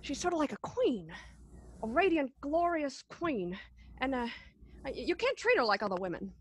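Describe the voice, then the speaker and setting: A woman's voice: sits high, big pitch swings, moderate pace. A woman playing a drunk, romantic male writer in a radio play, stammering, hiccuping and repeating words. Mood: earnest, drunk. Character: tipsy, rambling, romantic young male writer.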